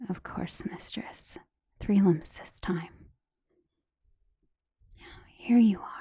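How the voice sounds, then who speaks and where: A woman playing a devoted, outspoken servant of a noble household in an ASMR roleplay, speaking gently and deferentially in formal phrasing, often slipping into a whisper. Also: medium pitch, no room sound, studio quality. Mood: attentive, soothing. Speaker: voice actor in an ASMR roleplay audio drama. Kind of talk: soft-spoken ASMR roleplay acting, often dropping to a whisper.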